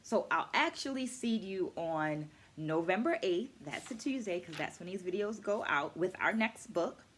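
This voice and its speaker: A middle-aged woman hosting a book video series, talking conversationally to camera in a measured, teacherly, explanatory way. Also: on the low side, lively intonation, a little hiss or hum.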